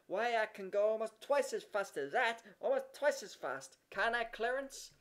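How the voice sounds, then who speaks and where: A man reading a story aloud, voicing a boastful young boy with a loud, whiny manner. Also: high-pitched, animated, moderate pace, pitched above the performer's own voice. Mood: bragging.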